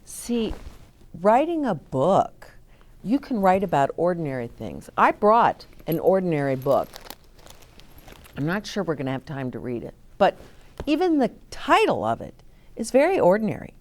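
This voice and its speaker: A woman's voice: fairly low pitch, sing-song, moderate pace. A woman hosting a story time for young children, reading aloud and chatting expressively, explaining things simply and asking the children questions.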